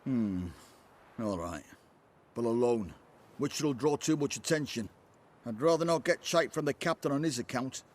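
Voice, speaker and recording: A wary guard acted by a man from a fantasy video game. He grumbles at a middle pitch, grudging and cautious. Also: lively intonation, normal speed, long pauses, natural voice.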